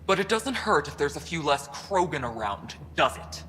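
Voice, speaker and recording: Sharp, stern male official. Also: on the high side, big pitch swings, moderate pace, slight background noise. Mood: pointed. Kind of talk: dramatic video game cutscene acting. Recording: long hall reverb, faint music behind.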